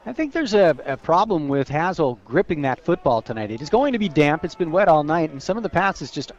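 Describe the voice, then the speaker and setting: An American man with a fairly high voice gives color commentary on live football. He explains the game in a folksy, conversational way, through slightly dull radio sound. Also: sing-song, fairly quick. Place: outdoors at a football stadium.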